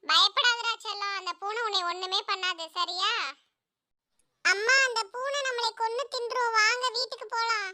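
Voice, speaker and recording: A woman's voice: very high-pitched, steady tone, normal speed, bright, forceful tone, heavily acted. A woman voicing small animals in a cartoon moral story, childlike and earnest. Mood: frightened. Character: small cartoon animals: orphaned mouse child, caring mother bird.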